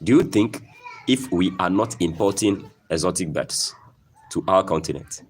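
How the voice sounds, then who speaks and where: Deep-voiced Ghanaian man urging farmers. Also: expressive, moderate pace, slight background noise. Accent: Ghanaian English. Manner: emphatic, repeats phrases and keeps asking "okay?". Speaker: poultry farmer. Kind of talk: motivational farming talk.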